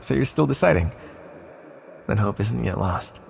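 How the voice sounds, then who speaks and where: A man playing an ancient sealed villain in an ASMR roleplay, speaking hesitantly and courteously in gentle, secretive persuasion with a gravelly voice. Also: on the low side, expressive, moderate pace. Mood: relieved, earnest.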